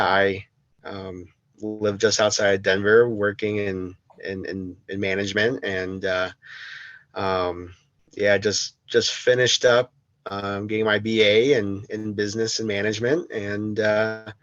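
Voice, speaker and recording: Young guy on a podcast, super low flat voice, slow with lots of ums. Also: no room sound, muffled recording. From United States. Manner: slow, hesitant, many ums and restarts. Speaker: podcast guest. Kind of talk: casual interview.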